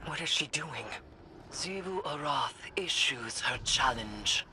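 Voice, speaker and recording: A woman playing a solemn, vengeful witch in a video game, speaking formally and portentously with a husky edge. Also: very deep voice, animated, slow, very noisy, full character voice. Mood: grim resolve. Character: brooding vengeful witch, solemn, cryptic and resolute. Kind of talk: dramatic video game dialogue.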